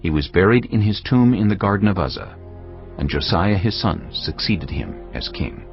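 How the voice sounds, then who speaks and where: A man narrating a dramatised scripture reading in a measured, solemn storytelling manner. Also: sits low, expressive. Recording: slightly dull audio with music bed.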